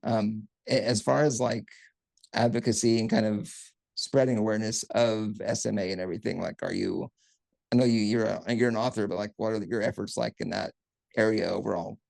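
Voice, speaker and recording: Brief: male interview host, gravelly low voice, dry recording, supportive. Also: steady tone, a little fast, darkish tone, no echo, low-quality recording. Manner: affirming, backchannels often. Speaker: podcast host. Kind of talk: casual interview conversation.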